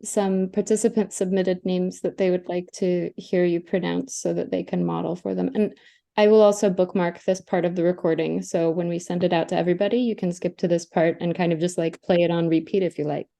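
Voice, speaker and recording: A woman's voice: lowish voice, fairly even intonation, a little fast, vocal fry, warm tone. A woman moderating a live online workshop over a video call, politely asking the presenter questions.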